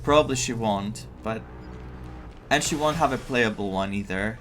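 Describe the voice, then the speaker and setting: A man giving casual, excitable playthrough commentary as a game streamer, rambling and searching for words. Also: fairly low pitch, normal speed, faint music behind. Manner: rambling, excitable, stumbles over names.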